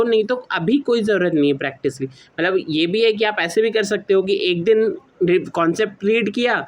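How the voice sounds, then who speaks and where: A young man giving informal, explanatory advice to students, mixing in English words and explaining in a rambling, repetitive way. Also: high voice. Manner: mixes English words into speech. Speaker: student YouTuber giving exam study advice.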